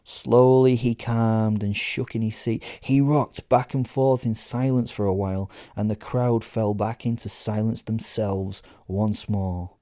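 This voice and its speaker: A man's voice: lowish voice, flat delivery, measured pace, hardly any pauses, mellow, no room sound, studio quality. A man narrating a first-person horror comedy story as a podcast host, with wry, conversational asides.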